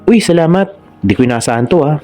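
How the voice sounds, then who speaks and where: A man's voice: on the high side, lively intonation, quick pace, dark tone, soft background music, pitched above the performer's own voice, natural voice. A Filipino man voicing an earnest, reassuring young male photographer in a romance story. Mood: pleased, awkward.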